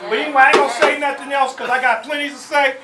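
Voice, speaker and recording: A speaker giving an emphatic, impromptu vernacular speech to an audience, with hesitant pauses. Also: sits high, fairly even intonation, crowd noise. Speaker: event speaker. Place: live event at a marketplace. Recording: very noisy, echoey, distant live recording. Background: loud noise and clicks.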